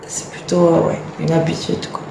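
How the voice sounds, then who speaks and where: A woman's voice: sits low, monotone, moderate pace, vocal fry. A woman in her late thirties from eastern France with a Franc-Comtois accent, chatting on a home live stream and answering viewer comments in a chatty, emphatic, exasperated way. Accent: Franc-Comtois French. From Franche-Comté, eastern France. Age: late 30s. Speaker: live stream host, mother of a large family. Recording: echoey room, faint background noise.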